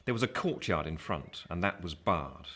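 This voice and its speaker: A man's voice: low voice, animated, moderate pace, fairly bright tone. A man narrating a radio play as a grown man recalling his boyhood, in a scripted literary style.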